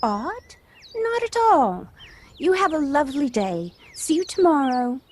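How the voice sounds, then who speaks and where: A woman playing a gentle, stoical widowed countrywoman in an amateur audio drama, with a reassuring manner. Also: high-pitched, very animated, unhurried. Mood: bright, reassuring. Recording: noisy home recording on personal device.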